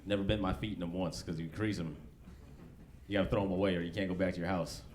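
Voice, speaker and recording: Stand-up comic, American man around forty, lowish voice, loose slangy swearing delivery, live club recording with some background noise. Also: fairly even intonation, fast talker. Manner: laid-back, slangy, profane delivery. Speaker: stand-up comedian. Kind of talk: live stand-up comedy, conversational. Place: comedy club stage.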